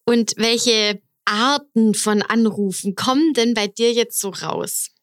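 A woman's voice: a little high, takes it slowly, dry recording, studio quality. A woman hosting an interview podcast, giving introductions and asking questions. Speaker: podcast host.

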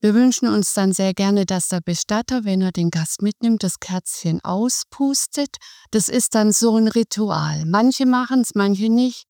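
Calm middle-aged woman with a mellow voice. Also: mid-range voice, no echo, clean studio sound. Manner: calm, measured explaining. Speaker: hospice volunteer, guest. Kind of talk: podcast interview.

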